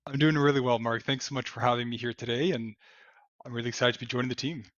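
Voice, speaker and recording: A man's voice: medium pitch, fairly even intonation, fast, fairly bright tone, no room sound. A man in his thirties from Ottawa, a native French speaker, introducing himself earnestly as an immigration lawyer over a video call, often restarting his phrases. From Ottawa, Canada. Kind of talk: self-introduction on a live stream. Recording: dry, dull lo-fi call audio.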